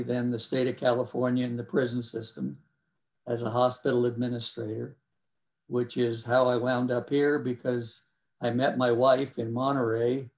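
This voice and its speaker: Older man on a video call, flat even voice, short clipped bits, dry jokes about himself. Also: mid-range voice. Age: 70s. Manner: clipped, dry, self-deprecating phrasing. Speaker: interview guest, Vietnam War veteran. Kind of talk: brisk life-story telling.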